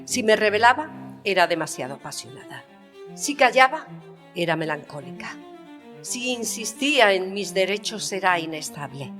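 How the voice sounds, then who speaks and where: A woman's voice: medium pitch, sing-song, moderate pace, a bit bright. An actress playing a proud, bitter, passionate queen, speaking in an emphatic, theatrical manner in an audio drama. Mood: solemn, defiant. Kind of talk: dramatised historical interview, audio fiction. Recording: long reverberant hall sound. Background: violin music.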